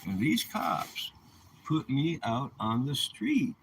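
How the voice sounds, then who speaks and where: A man's voice: mid-range voice, big pitch swings, slow pace, a bit bright, slight background noise, low-quality recording. A man in his seventies delivering an aggrieved personal vlog monologue in an indignant, emphatic way. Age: 70s. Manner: indignant, emphatic, rhetorical questions. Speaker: vlogger.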